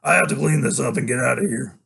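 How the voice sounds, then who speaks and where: Brutal killer dad, cold and menacing, low weary growl, read by a male narrator. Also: quick pace, gravelly, pushed and bright, slightly rough, exaggerated voice. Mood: grim. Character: menacing grown man, a brutal child-strangling father. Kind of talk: audiobook reading.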